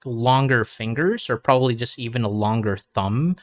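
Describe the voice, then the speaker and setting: A man leads a casual English conversation lesson in a relaxed, encouraging way, with plenty of filler words. His voice sits at an ordinary middle pitch. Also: moderate pace. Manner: relaxed and encouraging, many "you know"s. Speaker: English tutor.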